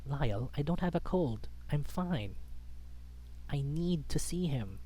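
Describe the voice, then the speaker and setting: A man's voice: on the high side, expressive, slow, warm tone, pitched above the performer's own voice, low-quality recording. A man voicing an anxious, stubborn young male hero who sounds agitated and insistent.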